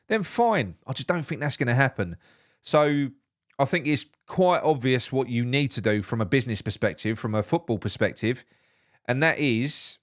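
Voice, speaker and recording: A man, nasal from a blocked nose, hosting a solo live football morning show in a rambling, opinionated way. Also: mid-range voice. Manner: opinionated, rambling with frequent restarts. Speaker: football podcast host.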